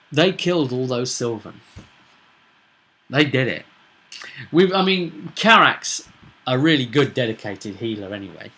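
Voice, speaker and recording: A man talking casually as a game master in an animated, blunt, rambling commentary. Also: medium pitch. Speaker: tabletop game master, video presenter.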